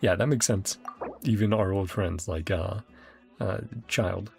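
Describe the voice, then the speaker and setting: A man's voice: sits low, measured pace, vocal fry, a little mellow, slightly rough. A man streaming a game, chatting casually and chuckling often as he half-reads the dialogue aloud. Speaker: gaming streamer. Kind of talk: casual let's-play commentary. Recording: game music playing underneath.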